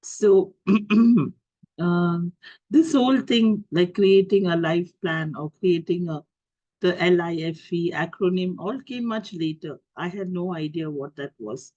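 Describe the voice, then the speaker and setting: A middle-aged woman with an Indian English accent speaks through a cold and cough, picking her words with many pauses, fillers and restarts. Her voice comes over a dull, lo-fi video-call line with a dry, close sound. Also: on the low side, mellow, no echo. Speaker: guest speaker. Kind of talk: talk to an online group.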